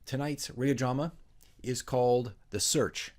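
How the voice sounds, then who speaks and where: A man talking casually and off the cuff as a dungeon master introducing his work, in a halting, rambling way. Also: mid-range voice, a little mellow. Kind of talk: casual unscripted chat.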